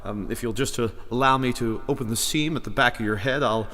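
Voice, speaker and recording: An actor playing a stammering, avuncular fraud of a wizard in a fantasy audio drama. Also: male voice, medium pitch, fairly quick, pushed and bright, lightly acted. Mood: nervous bluffing. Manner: stammering, hesitant, chuckling bluffer. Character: bumbling, evasive fraudulent wizard, avuncular showman. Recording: reverberant hall, music underneath.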